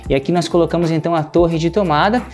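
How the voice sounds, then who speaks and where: A man's voice: a little high, fast. A man in his thirties hosting a home product video, explaining features fluently in a large modern kitchen. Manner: fluent, explanatory, many fillers like "enfim". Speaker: host. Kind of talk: presenting a product walkthrough. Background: faint music.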